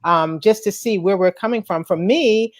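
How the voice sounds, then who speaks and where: A lively woman host talks in a casual chat and laughs often. She puts on quick voices when she quotes other people. Her voice sits in the middle of the usual range. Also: medium pitch. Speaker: coach and host. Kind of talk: casual live-stream chat.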